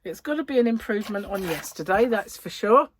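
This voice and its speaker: A woman's voice: medium pitch, animated, fairly quick, background noise. A woman hosting a podcast, chatting casually and rambling as she thinks aloud. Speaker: podcast host. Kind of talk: casual chat.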